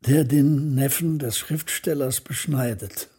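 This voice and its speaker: A melancholy scholar in a literary radio play. The voice is very husky and breathy, soft and mellow in tone. He speaks in measured, formal sentences, reflecting on his own past. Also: medium pitch, fairly even intonation. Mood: sombre, reflective. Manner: measured, contemplative, formal storytelling. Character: Austerlitz, melancholy reflective male architectural historian recounting his life. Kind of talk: literary radio drama monologue.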